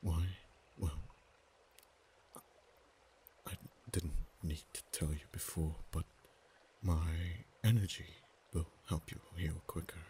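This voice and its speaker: A man voicing an ancient, proud, possessive male dragon in an ASMR roleplay, speaking softly and slowly, sometimes in whispers. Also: steady tone, a little hiss or hum. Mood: musing. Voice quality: very deep, soft-spoken. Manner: slow, measured, drops to whispers.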